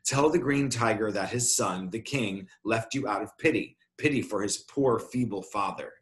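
A man's voice: lowish voice, normal speed, natural voice. A man voicing a boastful monstrous tiger in a storybook, mocking and dramatic. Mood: contemptuous. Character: dark, scornful, boastful monstrous male tiger beast.

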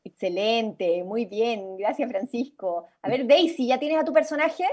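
Young woman, Chilean accent, high voice, encouraging workshop host, informal, video call. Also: a little slow, darkish tone. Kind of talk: interactive online creative workshop.

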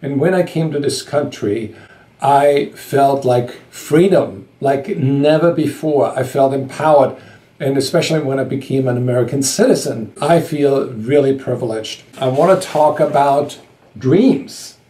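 A man's voice: mid-range voice, measured pace, warm tone. Earnest man in his sixties, roomy sound. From Austria. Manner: earnest, emphatic, gestures while talking. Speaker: vlog host, sales coach. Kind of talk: scripted talk to camera. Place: indoors before a sky backdrop. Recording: roomy echo.

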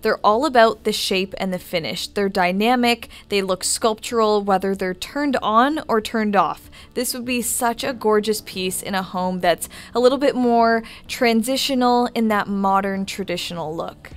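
A woman's voice: mid-range voice, moderate pace, hardly any pauses, smooth. A woman interior designer talking casually and upbeat as she walks through a home decor store, giving shopping tips in a voice-over. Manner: upbeat, chatty recommendations. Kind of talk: shop-with-me voice-over.

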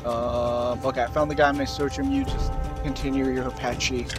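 A man's voice: mid-range voice, level tone, moderate pace. A man co-hosting a gaming show, giving casual, joking commentary during co-op play. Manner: playful, joking. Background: game audio and music.

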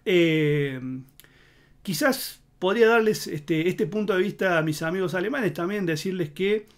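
A man's voice: high-pitched, a little slow. A man in his thirties with a Córdoba Argentine accent, giving rambling commentary to camera with many fillers and restarts. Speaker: political commentator. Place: indoor home studio in front of a green screen.

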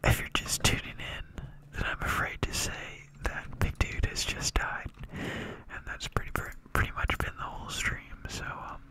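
A person commentating a livestream in a breathy ASMR-like whisper, with a playful, deadpan mock-announcer delivery. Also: moderate pace, few pauses.

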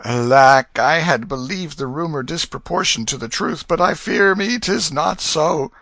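A man acting a doting old king, forceful and lively. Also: mid-range voice, slow, no echo, pitched above the performer's own voice, heavily acted. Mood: deep disappointment. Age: older. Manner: archaic, stately, coaxing speech. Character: stern old king turned gentle, anxious, doting father. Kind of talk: audiobook character voice.

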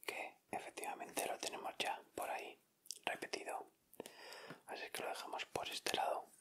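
A man with an accent from Spain, speaking in a breathy, unvoiced whisper as he gives casual ASMR commentary. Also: moderate pace, a bit bright. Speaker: ASMR video host. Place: indoors at a table.

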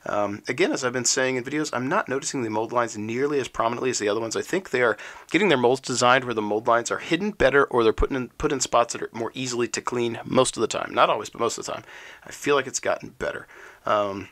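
A man's voice: mid-range voice, fast. A man with a stuffy, crackly voice from a cold, casually chatting through an unboxing of game miniatures and cards. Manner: relaxed, chatty, lots of ums, sound effects like "shwoop". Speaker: miniatures hobby channel host. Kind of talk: unboxing and card review.

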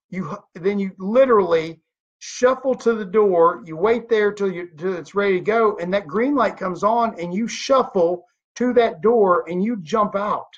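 A man's voice: sits high, husky. A man of thirty-nine giving an emphatic motivational talk to a webcam from a home office, full of rhetorical questions. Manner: emphatic, animated hand gestures, rhetorical questions. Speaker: network marketing leader. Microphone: webcam at desk. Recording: dull lo-fi webcam audio.

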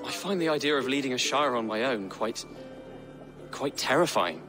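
A man's voice: mid-range voice, expressive, normal speed, sharp tone, soft background music. An actor playing a polite, hesitant young nobleman. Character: nervous earnest young noble man.

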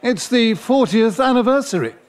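A man's voice: very high voice, expressive, a little hiss or hum. A man acting a rueful, self-pitying character looking back on old love affairs in an audio drama, theatrical and exclamatory. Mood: awkward, flustered. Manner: theatrical, exclamatory, halting reflective phrasing. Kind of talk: intimate audio drama monologue and dialogue.

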